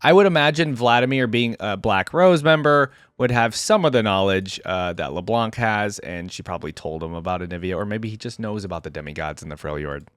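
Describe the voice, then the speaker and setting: A man's voice: fairly low pitch, fairly quick, few pauses, clean tone, dry recording, studio quality. A man hosting a reaction video, commenting casually and musing in an informal way.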